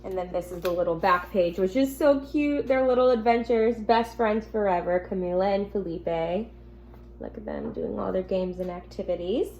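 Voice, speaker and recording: A woman teacher speaking gently and simply to young children, reading a picture book aloud at home with casual asides. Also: mid-range voice, moderate pace, poor audio. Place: at home in an apartment. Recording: noticeable background noise, home recording.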